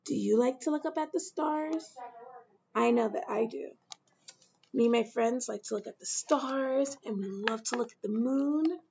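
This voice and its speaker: A woman teacher reading a picture book aloud to children, gentle and slow, with questions to her listeners. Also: on the high side, gravelly, lo-fi sound, slight background noise.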